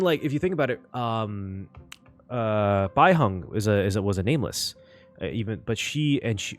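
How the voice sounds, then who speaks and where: A man hosting a casual reaction video on a game story, excitable and given to repeating phrases for emphasis. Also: fairly low pitch.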